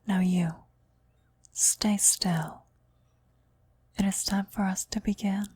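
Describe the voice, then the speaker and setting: A woman playing a devoted, eerily reverent cultist in an ASMR roleplay, speaking in a hushed, breathy whisper. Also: medium pitch, fairly even intonation, takes it slowly. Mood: serene, fanatical. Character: devoted female cultist, eerily reverent servant of demon queen. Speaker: voice actor in an audio roleplay.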